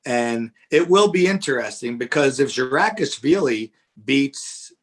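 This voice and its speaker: A man's voice: medium pitch, animated, a little slow. A man co-commentating on an arm-wrestling match, giving his opinions in a casual, conversational way. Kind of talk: live sports commentary.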